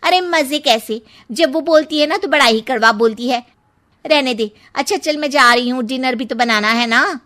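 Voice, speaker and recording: A woman's voice: high-pitched, moderate pace, a bit bright. A woman playing a sarcastic, aggrieved young daughter-in-law in a cartoon story, with a complaining manner. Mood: matter-of-fact.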